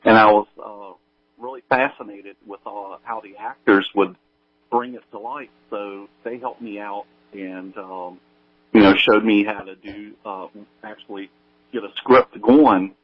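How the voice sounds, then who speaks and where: A man with a lowish voice answers interview questions casually. He is modest and halting, and his remote line sounds dull, with the volume drifting up and down. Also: fairly even intonation. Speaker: guest, audio drama creator.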